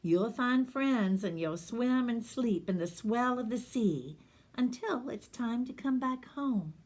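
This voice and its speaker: A woman's voice: fairly high pitch, lively intonation, takes it slowly, voice pushed up. Woman voicing an encouraging puffin mother. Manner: gentle, encouraging. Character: caring female puffin mother.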